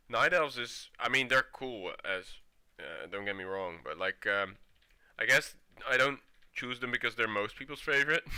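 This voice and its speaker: A young man from the Netherlands with a Dutch accent, chatting casually as a streamer while he plays, relaxed and laughing often. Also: medium pitch, smooth. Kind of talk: casual gameplay commentary.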